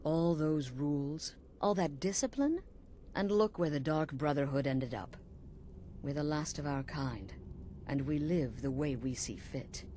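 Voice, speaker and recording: A woman voicing a middle-aged assassin leader in a video game, coolly sinister and sly, measured and knowing with dramatic pauses. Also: low voice, animated, warm tone, a little hiss or hum. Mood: matter-of-fact, resolute.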